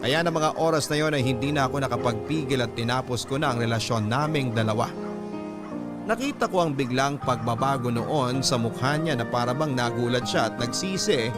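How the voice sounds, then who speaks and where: Male radio storyteller over clear background music. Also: medium pitch, brisk. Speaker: radio drama host and narrator. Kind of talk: scripted first-person story narration, reading a listener's letter. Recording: prominent music bed behind the voice.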